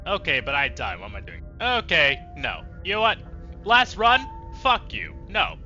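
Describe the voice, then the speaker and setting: Male streamer, very bright and wildly animated, casual sweary game banter, sarcastic, talking back to the game. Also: fairly high pitch, moderate pace, highs cut off, soft background music. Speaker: let's play streamer. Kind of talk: casual gaming commentary.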